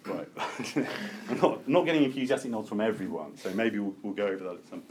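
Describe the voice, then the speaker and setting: Lowish voice guy lecturing a seminar, keeps restarting n thinking out loud, bit of background noise. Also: lively intonation. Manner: halting, self-correcting, thinking aloud. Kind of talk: academic lecture with open discussion. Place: seminar room with a group. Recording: noticeable background room noise.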